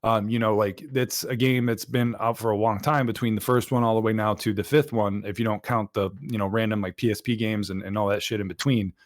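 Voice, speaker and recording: A man's voice: fairly low pitch, steady tone, a little fast, hardly any pauses, dry recording, clean studio sound. A man co-hosting a gaming podcast, chatting casually and giving blunt opinions with some swearing. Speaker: podcast co-host. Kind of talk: casual panel chat about video games.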